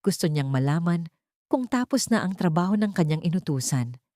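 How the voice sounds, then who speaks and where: A woman's voice: lowish voice, very animated, normal speed, dark tone, no room sound, clean studio sound. A woman narrator voicing a protective, commanding older brother in an audiobook. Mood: firm.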